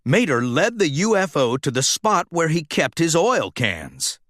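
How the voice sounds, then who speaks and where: A man's voice: on the high side, sing-song, measured pace, a bit bright, no echo, studio quality. A man reading a children's storybook aloud as scripted narration. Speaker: storybook reader.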